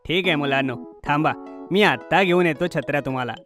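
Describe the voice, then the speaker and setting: A man's voice: fairly high pitch, a little fast, a bit bright, soft background music, natural voice. A man voicing a friendly, polite shopkeeper uncle in a children's cartoon. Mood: obliging.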